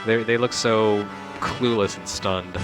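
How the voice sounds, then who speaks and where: Guy w/ lowish voice chatting about game cutscenes, kinda wry and jokey, loud game music behind him. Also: fairly even intonation, takes it slowly. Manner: wry, joking. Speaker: video game critic and co-host. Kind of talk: casual commentary on game cutscenes. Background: video game music.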